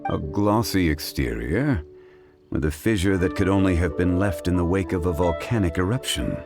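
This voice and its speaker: An actor playing a composed, scholarly gentleman consultant in a video game, speaking in a measured, formal, eloquent way. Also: low-pitched. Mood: studious. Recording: music behind the voice.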